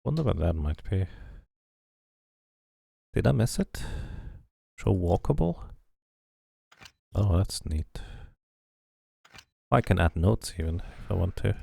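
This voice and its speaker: A man's voice: sits low, expressive, slow pace, vocal fry, long pauses, mellow, breathy edge. A man doing a casual game playthrough, musing to himself and to viewers and reading narration aloud.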